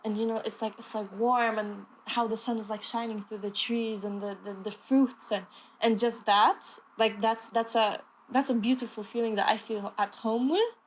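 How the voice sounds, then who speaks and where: A woman recalls a fond memory dreamily, filling her pauses with fillers. Her voice stays even and flat. Faint noise is heard behind her. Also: a little high, poor audio. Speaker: interviewee.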